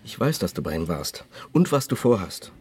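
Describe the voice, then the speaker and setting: An actor playing a jealous, reluctant accomplice and secret lover in a radio crime drama, speaking tersely and reproachfully. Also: male voice, low-pitched, sing-song, moderate pace, dark tone, a little hiss or hum. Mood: tense, reproachful.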